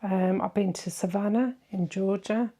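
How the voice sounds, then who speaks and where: A middle-aged woman with a softened Irish accent, chatting casually as she answers viewer questions. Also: medium pitch, unhurried. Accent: Irish, softened by decades in southern England. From Ireland. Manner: chatty and warm-hearted, many "um"s and tag questions. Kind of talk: casual Q&A chat.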